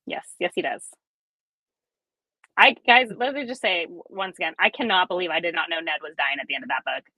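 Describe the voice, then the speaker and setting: A woman's voice: on the low side, a little fast, bright, forceful tone, dry recording, low-quality recording. A woman hosting a casual, informal discussion among fellow readers. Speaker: host. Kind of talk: casual chat.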